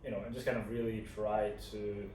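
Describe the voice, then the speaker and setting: Echoey, mellow-voiced Scottish man chatting. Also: lowish voice, little pitch movement, a little fast. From Scotland. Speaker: co-host of a couple's vlog. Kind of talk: casual chat. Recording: echoey room, distant mic, faint noise.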